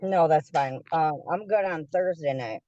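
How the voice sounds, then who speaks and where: A woman's voice: deep voice, a little slow, warm tone, highs cut off. A woman in her thirties answering plainly and informally in a hearing, on a video call from a car. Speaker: mother, party in a custody hearing.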